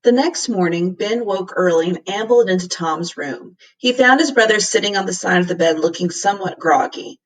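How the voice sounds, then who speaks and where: A woman reading a children's storybook aloud as a teacher would to a class, in expressive narration. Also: medium pitch, moderate pace, hardly any pauses, far from the mic, rough recording.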